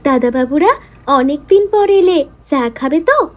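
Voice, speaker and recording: A friendly young girl of the house speaks in an audio drama. Her voice is very high, and her manner is hospitable. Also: lively intonation, a little slow. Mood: friendly, welcoming.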